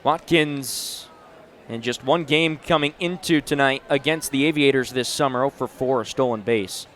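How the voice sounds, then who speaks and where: A man's voice: medium pitch, moderate pace, fairly bright tone. A man in his twenties doing live play-by-play sports commentary in short, punchy announcer phrases. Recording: noisy live venue sound.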